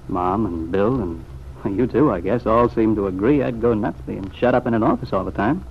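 An actor playing a teasing, easygoing young American bachelor cousin in an old-time radio serial. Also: male voice, on the low side, moderate pace, natural voice. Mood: earnest, musing. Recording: muffled, noisy vintage radio recording.

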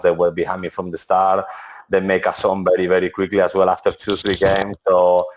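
A man in his forties, a former footballer and interview guest, speaking with many restarts and "to be honest". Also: low voice. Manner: non-native English, frequent "to be honest" and restarts. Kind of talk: relaxed interview answers.

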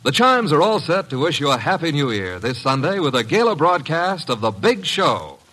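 A man's voice: on the high side, big pitch swings, normal speed, pushed and bright, breathy edge, low-quality recording. A man announcing in dramatic, scripted 1950s radio style. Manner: dramatic, declamatory.

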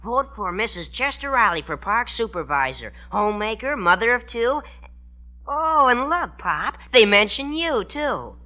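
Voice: medium pitch, sing-song, measured pace, bright, forceful tone, muffled recording. A performer playing an eager, gee-whiz youngster, the child of the family in a 1940s radio sitcom.

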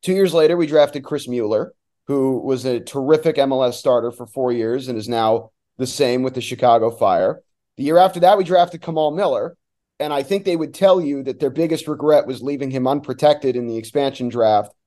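A man's voice: medium pitch, moderate pace, warm tone. A man who works as a soccer announcer, chatting casually and enthusiastically in detail as a podcast guest. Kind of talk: casual sports analysis chat.

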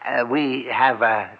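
A man's voice: mid-range voice, gravelly, heavily acted. An actor playing a naive, earnest small-town man who talks hesitantly, in a 1940s radio drama. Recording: muffled old radio broadcast.

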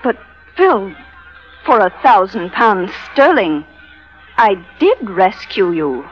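A woman's voice: medium pitch, big pitch swings, slow pace, faint music behind. A woman playing a cool, coquettish, scheming femme fatale in an old radio drama, arch and sweetly coy. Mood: pleading. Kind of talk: 1940s radio drama acting. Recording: muffled old radio recording.

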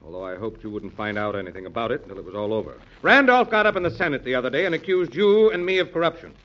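A man's voice: medium pitch, animated, rapid-fire, natural voice. An actor playing a proud, hot-headed, indignant American statesman in 1940s radio drama style. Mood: aggrieved. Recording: dull old radio recording.

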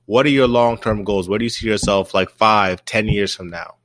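Low-voiced relaxed podcast host. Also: male voice, normal speed, no echo. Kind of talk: casual podcast interview.

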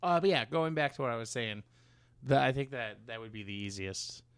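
A man's voice: medium pitch, expressive, clean tone, no room sound. A man co-hosting a podcast in casual chat, rambling and interrupting himself as he goes, with casual swearing. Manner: rambling, halting, self-interrupting. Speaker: podcast co-host.

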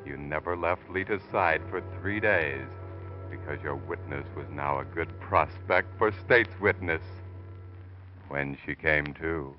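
Sardonic knowing narrator, fairly low, accusing, vintage radio suspense, music behind, muffled sound. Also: male voice, a little slow. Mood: ominous. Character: ominous, knowing male narrator taunting a murderer. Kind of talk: 1940s radio suspense narration, second person.

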